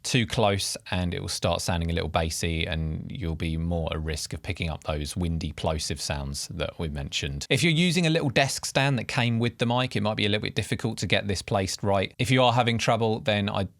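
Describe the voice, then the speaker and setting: Guy doing a how-to video, deep voice, really dry close mic sound, explains stuff point by point. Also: steady tone, brisk, hardly any pauses, fairly bright tone, no echo. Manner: clear step-by-step explaining, numbered points. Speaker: tutorial host. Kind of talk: instructional video narration to camera. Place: quiet treated home studio with acoustic panels.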